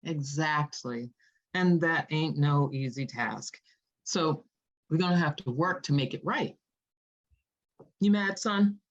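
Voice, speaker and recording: A woman's voice: low voice, slow, long pauses. A woman acting a fiercely loving, fearless mother in her thirties in a script read, firm and folksy, on dull audio. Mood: tender, firm.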